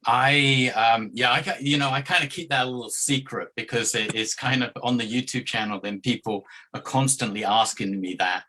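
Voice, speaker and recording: A man's voice: medium pitch, moderate pace, hardly any pauses, pushed and bright, a little hiss or hum, poor audio. A man with a hard-to-place mixed accent, a guest on a podcast, telling his story in a hesitant, rambling way with many restarts. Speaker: guest, travel video maker. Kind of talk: casual podcast interview.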